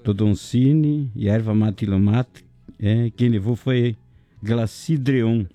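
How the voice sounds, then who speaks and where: A chatty man hosting a local radio show. His voice is dark and mellow, with soft music playing faintly behind him. He jokes around and rattles off shout-outs to listeners and sponsors. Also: mid-range voice, slow, dry recording. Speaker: radio host. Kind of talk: casual local radio chat.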